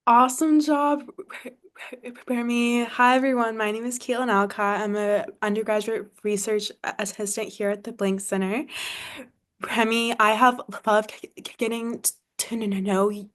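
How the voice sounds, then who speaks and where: A young woman talks over a video call, stuttering openly through repeated sounds and silent blocks. Her pitch barely moves and stays level from phrase to phrase. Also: flat delivery, moderate pace, a bit bright. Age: young adult. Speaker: undergraduate research assistant. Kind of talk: prepared tribute to a child.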